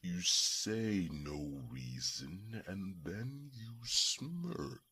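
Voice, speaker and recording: A man playing a courtly, reserved dragon in an ASMR fantasy roleplay, speaking formally and deliberately in a breathy, gravelly rasp. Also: deep voice, animated, sharp tone, heavily acted. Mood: guarded fondness. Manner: formal, slow, deliberate, with thoughtful hums. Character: courtly male dragon, reserved, gently evasive about love.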